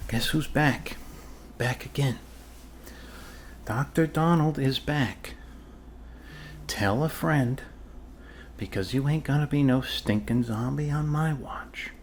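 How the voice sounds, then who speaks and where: A man plays a goofy, reassuring doctor in an improvised ASMR roleplay. He talks slowly in a mid-pitched voice, rambling and joking casually. There is faint background noise on the recording.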